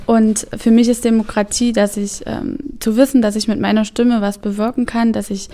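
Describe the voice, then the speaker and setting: High, mellow-voiced woman. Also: flat delivery, normal speed. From Germany. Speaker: interviewee.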